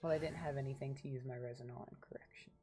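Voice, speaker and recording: A woman's voice: a bass voice, fairly even intonation, fast talker, over music. A woman casually chatting as she livestreams a game, rambling to viewers and to herself. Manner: rambling, talks to chat and to herself. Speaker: game streamer. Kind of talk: casual livestream gameplay chat.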